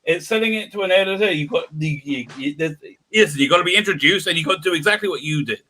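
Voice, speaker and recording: A man interviewing a guest in casual chat, halting with many restarts and laughing between points. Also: a little high, bright, forceful tone.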